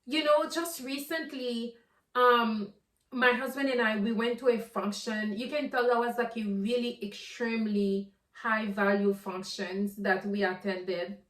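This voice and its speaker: A woman's voice: a little high, steady tone, unhurried. A woman from Haiti, hosting a podcast as an earnest solo talk. She sounds preachy and often repeats words as she builds toward a point. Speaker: podcast host. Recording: roomy sound from a distant mic.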